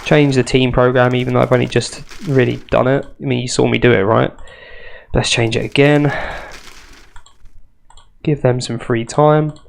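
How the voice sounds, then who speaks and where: A man's voice: medium pitch, flat delivery, normal speed, gravelly, pauses often, slight background noise. A young man with a nasal, congested voice, chatting wryly through a football management game. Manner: chatty, self-mocking, wry asides. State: congested from a cold. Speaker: gaming youtuber. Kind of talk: casual let's play commentary.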